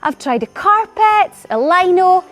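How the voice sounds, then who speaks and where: A woman's voice: extremely high pitch, expressive, unhurried, music in the background. A woman playing a wry, chirpy genie of the lamp in a pantomime, with a North-East Scottish accent. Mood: chirpy, rueful. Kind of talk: comic pantomime monologue to the audience.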